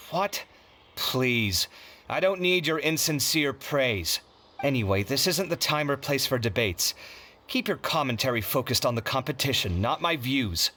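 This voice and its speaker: A man's voice: medium pitch, animated, a little slow, bright, forceful tone, slight background noise. Earnest, proud young male scholar. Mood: irritated. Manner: earnest, dramatic. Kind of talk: English video game voice acting. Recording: background music under the voice.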